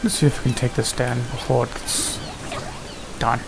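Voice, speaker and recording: A man's voice: mid-range voice, level tone, normal speed, creaky. A man giving casual, hesitant gameplay commentary, muttering to himself as he plays. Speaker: gamer narrating own play. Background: game music and sound effects.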